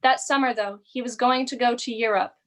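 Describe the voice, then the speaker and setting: A woman's voice: a little high, steady tone, a little fast, lightly acted. A woman playing a patient heavenly storyteller, narrating in a radio play. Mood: explaining.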